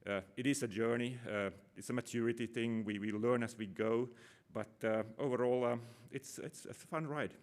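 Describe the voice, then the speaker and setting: Man, middle-aged, from Finland, conference talk, rambling, self-deprecating, lots of fillers, mid pitch. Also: steady tone, normal speed. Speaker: conference speaker, operations manager. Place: conference room.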